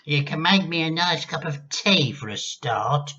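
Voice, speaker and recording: A man's voice: a little high, expressive, measured pace, bright, forceful tone, dull audio, voice pushed up. A man voicing a grumpy, bossy, selfish old grandmother in a children's storybook, complaining and snappish. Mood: bossy, scolding. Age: older.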